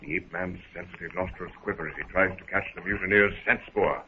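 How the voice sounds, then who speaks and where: A man's voice: deep voice, fairly quick, pushed and bright. A man giving scripted, dramatic narration for a 1930s adventure radio serial in a theatrical storytelling manner. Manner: theatrical, present-tense storytelling. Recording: scratchy, phone-like 1930s radio recording.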